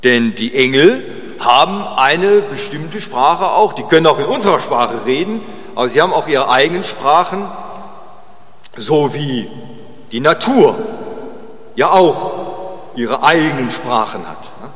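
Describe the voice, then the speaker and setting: A man preaching informally and chattily, in a halting way with pauses, repeated phrases and short tag questions. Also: a little high, animated, fairly bright tone. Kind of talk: informal sermon with digressions. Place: large reverberant room at a church service. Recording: long reverb of a large hall.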